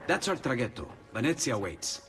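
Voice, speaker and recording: A man's voice: mid-range voice, sing-song, normal speed, a bit bright, faint music behind. A man playing a modest, friendly Italian Renaissance companion with an Italian accent in a video game. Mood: practical.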